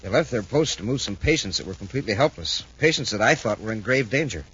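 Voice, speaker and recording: A man playing a distressed, apologetic hospital superintendent in a 1950s radio adventure, husky, earnest and halting. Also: on the low side, lively intonation, a bit bright, exaggerated voice. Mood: flustered. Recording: dull 1950s radio recording.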